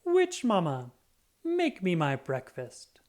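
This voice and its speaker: A woman's voice: fairly low pitch, wildly swooping pitch, slow, a little mellow, heavily acted. A woman voicing an eager, bossy but caring young girl in a storybook, coaxing and exclaiming. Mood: eager, demanding. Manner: coaxing, exclaiming, sometimes whispering.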